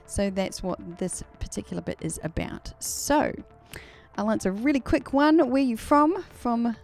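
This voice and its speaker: A woman's voice: on the high side, lively intonation, normal speed, gravelly, darkish tone, soft background music. A woman from New Zealand with a New Zealand accent tinged Australian, chatting casually on a live stream, laughing often and using many fillers. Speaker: singer hosting a live stream.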